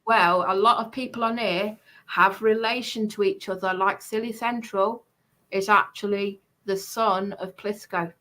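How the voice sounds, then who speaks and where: A woman's voice: mid-range voice, steady tone, a little slow, bright, forceful tone, slight background noise. A woman speaks in a mid-range voice in casual conversation. She recounts personal grievances insistently, often hesitating and repeating herself.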